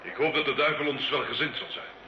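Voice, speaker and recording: A man's voice: medium pitch, fairly even intonation, fast, close to an everyday voice. A male actor playing a proud, volatile nobleman who dabbles in devil worship, with haughty, theatrical, formal delivery in an old radio drama. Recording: dull, hissy old radio recording, roomy.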